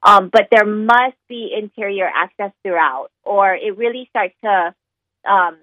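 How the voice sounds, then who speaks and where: A woman's voice: medium pitch, a little slow, dry recording. A woman who works as a mortgage lender, explaining loan rules in a conversational way with plenty of ums and likes. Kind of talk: explaining on a talk show. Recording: tinny phone line.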